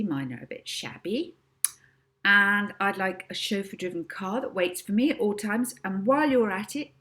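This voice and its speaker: A woman's voice: medium pitch, a bit bright. A woman voicing a bossy, confident young girl hypnotist with a demanding, imperious manner in a storybook reading.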